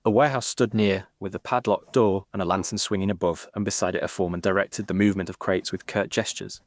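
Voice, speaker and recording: Low-voiced man narrating, close and dry. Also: steady tone, no echo. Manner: measured, literary, formal. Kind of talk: scripted narration of a Victorian detective story.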